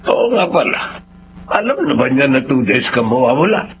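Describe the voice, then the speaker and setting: Man acting as kindly filipino dad in a radio drama, genial, medium pitch, muffled and noisy. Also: sing-song, normal speed, lightly acted. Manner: genial, chuckling. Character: kindly, supportive middle-aged father of a grown son.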